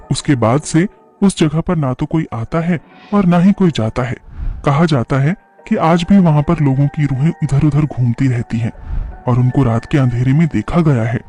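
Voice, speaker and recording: A man's voice: medium pitch, mellow. A man narrating a scripted horror story.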